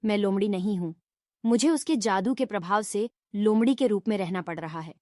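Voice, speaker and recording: A woman voicing a wise, scolding but helpful fox in a storybook fairy tale, with measured, admonishing delivery. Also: medium pitch, warm tone, no echo, studio quality, close to an everyday voice. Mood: resigned, pleading. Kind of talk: Hindi fairy tale character voice.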